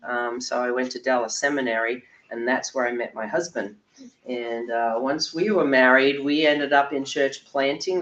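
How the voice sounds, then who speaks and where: An older woman from Australia giving a chatty conference workshop talk, her voice croaky and low from a cold, often clearing her throat. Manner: chatty, self-deprecating, frequent ums and throat clearing. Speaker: workshop speaker. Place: echoey conference room. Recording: roomy, distant, dull recording.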